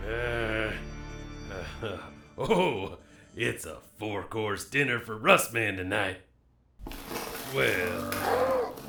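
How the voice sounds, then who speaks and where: An actor playing a grizzled, folksy old survivor with a gravelly voice, who talks of himself in third person in an audio drama. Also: male voice, medium pitch, heavily acted. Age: older. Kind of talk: dramatised comic voice acting. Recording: music bed behind the voice.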